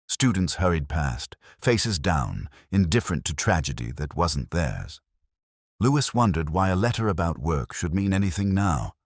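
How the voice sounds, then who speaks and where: Very deep-voiced brooding male narrator. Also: big pitch swings, no echo, studio quality. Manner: measured, brooding, reflective delivery. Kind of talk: scripted first-person detective narration.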